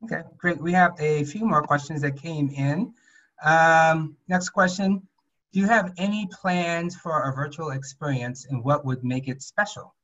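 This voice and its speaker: A man moderates a video call Q&A. His voice runs a bit high for a man, and he keeps his questions brief and polite. The webcam audio comes through dull and lo-fi. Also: measured pace, a bit bright. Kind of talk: reading audience questions.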